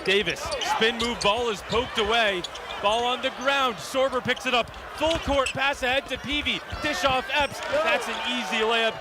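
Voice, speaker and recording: A man calling live basketball play-by-play on radio with an energetic, excitable delivery. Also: very high-pitched, normal speed. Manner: energetic, rising excitement on big plays. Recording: loud arena noise behind the voice. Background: basketball arena with bouncing ball.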